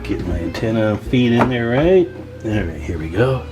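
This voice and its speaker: A man's voice: on the low side, lively intonation, normal speed, music in the background. A man hosting a hobby video, talking through a hands-on demonstration in an informal, chatty, slangy way. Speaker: host of a CB radio repair video.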